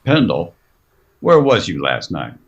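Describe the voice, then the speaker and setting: An actor playing a protective, folksy frontier saloon keeper in a Western radio drama. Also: male voice, low voice, huge pitch swings, measured pace, muffled recording, full character voice. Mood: guarded, insistent. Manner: folksy rustic grammar. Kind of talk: old-time Western radio acting.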